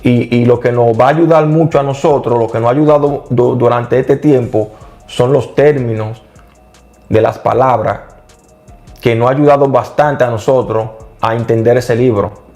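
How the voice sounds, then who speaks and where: An earnest middle-aged man explaining scripture in a casual talk, at an ordinary mid pitch. He stumbles and restarts as he goes and readily agrees with his partner. Faint music sits underneath. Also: steady tone, moderate pace, a little mellow. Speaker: co-host of a Bible study channel. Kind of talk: casual religious discussion.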